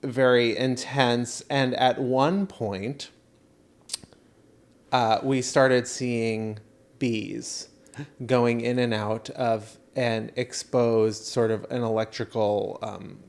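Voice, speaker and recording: A man co-hosting a podcast, telling casual comedic stories in an animated, dramatic way and acting out reactions. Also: medium pitch, drawn-out words. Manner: animated, dramatic storyteller who acts out reactions and quotes. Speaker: podcast co-host. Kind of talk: casual comedic storytelling chat.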